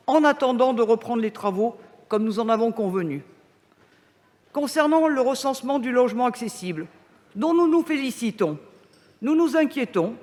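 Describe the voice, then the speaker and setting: A woman opposition councillor giving a formal, prepared council speech in a firm, critical and deliberate manner. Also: fairly high pitch. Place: large council meeting hall. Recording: reverberant council chamber sound.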